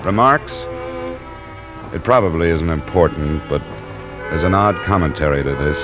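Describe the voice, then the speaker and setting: A man's voice: extremely low pitch, lively intonation, moderate pace. An actor playing a hard-boiled insurance investigator, narrating his case tersely and matter-of-factly in 1950s radio drama style. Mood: grim, matter-of-fact. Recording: dull old radio recording, loud music.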